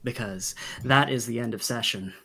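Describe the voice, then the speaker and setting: A man narrating a tabletop game as game master, dramatic and deliberate, with long pauses. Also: medium pitch, gravelly, sharp tone, slightly rough.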